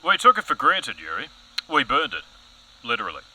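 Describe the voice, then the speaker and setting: A man acts out a theatrical TV news anchor in an audio satire. His voice is sharp and forceful and swoops widely in pitch. He is gullible, insinuating and deeply earnest in his suspicion. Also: wildly swooping pitch, brisk, slightly rough, exaggerated voice, poor audio. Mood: intrigued, suspicious. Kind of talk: satirical broadcast news audio comedy acting.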